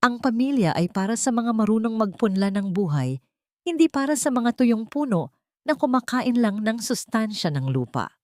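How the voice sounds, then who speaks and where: A woman's voice: a little high, very animated, moderate pace, no room sound, voice pushed up. A woman plays a rich, imperious mother-in-law in an audio drama. She is scornful and cruel, speaking in a dry, close recording. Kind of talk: audio story character acting.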